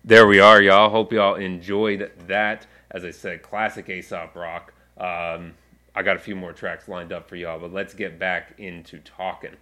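A man's voice: low voice, measured pace, a little hiss or hum. A man hosting a community radio show, chatting casually with many fillers and asides. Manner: relaxed, rambling with fillers. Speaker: radio show host and music coordinator.

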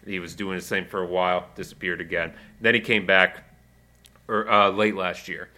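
A man's voice: sits low, moderate pace. A man hosting a community radio show, chatting casually with many fillers and asides. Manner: relaxed, rambling with fillers. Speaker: radio show host and music coordinator.

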